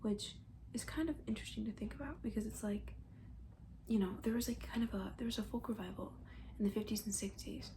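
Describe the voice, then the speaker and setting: A woman speaking in a soft, breathy near-whisper, rambling gently and hesitantly in casual ASMR style as a comforting camp counselor. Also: medium pitch, fairly quick, background noise. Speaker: ASMR roleplay creator.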